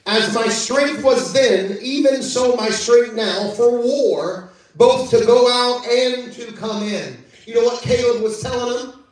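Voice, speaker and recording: A man with a high voice delivers a sermon, reading scripture and calling out to the congregation. His voice rings through a very echoey church, caught from well off the mic. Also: takes it slowly, recorded from a distance. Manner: preaching with call-outs and scripture reading. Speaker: preacher. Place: church service.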